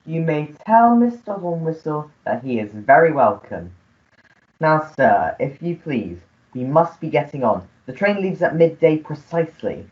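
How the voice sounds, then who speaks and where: A woman's voice: deep voice, very animated, a little slow, highs cut off. A woman playing a long-suffering, deferential English manservant in a comic melodrama radio play, formal and apologetic. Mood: dutiful.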